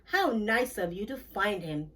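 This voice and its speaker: Lady doing a pompous mister horse for a kids book, self-important, slow talking. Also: medium pitch, lively intonation, sharp tone, distant mic. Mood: satisfied. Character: self-important male farm horse, settling disputes.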